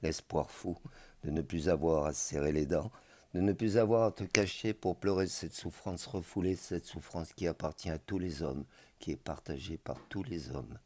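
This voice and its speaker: A man with a very low, dark voice performs spoken-word poetry. His delivery is solemn and measured, each phrase placed deliberately, with a mellow tone throughout.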